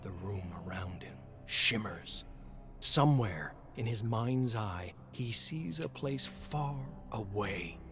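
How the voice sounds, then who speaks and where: A man narrating a scripted story dramatically, in a measured and solemn manner. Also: lowish voice, lively intonation. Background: music.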